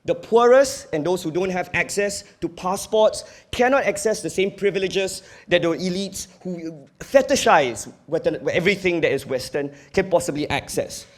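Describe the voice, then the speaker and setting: A man's voice: sits high, normal speed, hardly any pauses. A young man with a Singaporean accent giving a competitive debate speech in an earnest, emphatic, argumentative manner. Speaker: university debater.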